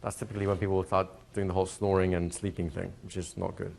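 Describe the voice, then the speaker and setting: A man teaching a hypnotherapy class to a live group, explaining plainly and slipping into slow, soothing hypnotic patter for demonstrations. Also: deep voice, flat delivery, creaky, a little mellow, a little hiss or hum. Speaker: hypnosis trainer. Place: training room with a live audience.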